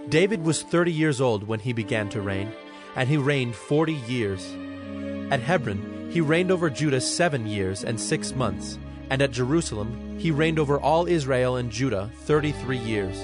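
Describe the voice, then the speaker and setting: A man narrating scripture from a script in a formal, measured storytelling manner. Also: mid-range voice, lively intonation, over music.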